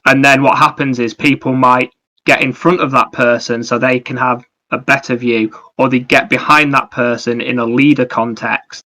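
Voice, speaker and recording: A man's voice: mid-range voice, steady tone, normal speed, bright, forceful tone, low-quality recording. A man co-hosting a podcast in casual discussion, chipping in and talking through ideas. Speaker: podcast co-host.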